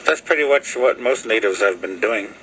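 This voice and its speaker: A man whose first language is Lakota, talking casually to a video camera in halting English, often pausing with fillers as he searches for words. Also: fairly low pitch, animated, fairly bright tone, slight background noise, poor audio. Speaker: vlogger. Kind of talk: casual rambling talk to camera. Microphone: video camera mic.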